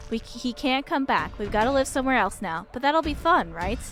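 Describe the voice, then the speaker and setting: A woman voicing an anxious, hurried mother who coaxes her small child in a visual novel. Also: fairly high pitch, sing-song, fairly bright tone, soft background music. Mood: coaxing, hurried.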